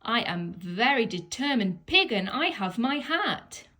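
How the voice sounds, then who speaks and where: A woman's voice: on the high side, big pitch swings, unhurried, pushed and bright, pitched above the performer's own voice, heavily acted. A woman voicing a vain, determined little boy pig in a storybook, with a boastful manner. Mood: proud.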